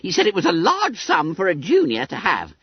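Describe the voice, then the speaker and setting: A squeaky, trilling fat schoolboy, full of pompous glee. The voice sits very high and swoops about in storybook style, on a dull recording. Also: wildly swooping pitch, brisk, vocal fry, husky, no room sound, full character voice. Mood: triumphant. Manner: pompous, gleeful, muddles long words. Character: boastful, fat, bespectacled schoolboy, smug and indignant.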